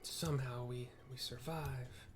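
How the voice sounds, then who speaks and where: A man's voice: mid-range voice, fairly even intonation, measured pace, over music. Laid-back man giving casual gameplay commentary. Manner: laid-back, descriptive, informal. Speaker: gamer narrating a playthrough. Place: indoors at a computer.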